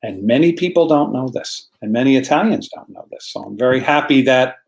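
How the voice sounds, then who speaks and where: A man's voice: on the low side, darkish tone. An American man, a guest in a casual online chat, telling a story earnestly and repeating words as he searches for them. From United States.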